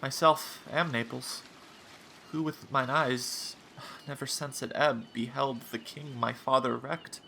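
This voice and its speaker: An actor playing a grieving, lovestruck young shipwrecked prince, speaking earnest verse in a Shakespearean audio drama. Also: male voice, medium pitch, steady tone, slow pace, vocal fry, sharp tone, heavily acted. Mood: awestruck, sorrowful. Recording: noisy remote online recording.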